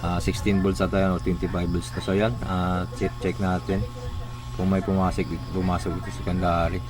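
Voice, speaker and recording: A man's voice: low-pitched, monotone, brisk. A man with a Filipino accent hosting a casual hands-on repair tutorial, talking informally through each step as he works. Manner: informal, talking through steps while working. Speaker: electronics repair tutorial host.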